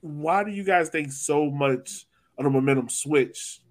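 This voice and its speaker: A man's voice: a little high, unhurried. A man hosting a casual, conversational sports discussion as a podcast host.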